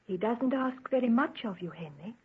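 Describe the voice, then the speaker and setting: An actress playing an anxious, devoted young fiancée in an earnest, pleading golden age radio drama style. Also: female voice, on the high side, animated, normal speed, husky. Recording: tinny band-limited vintage radio recording.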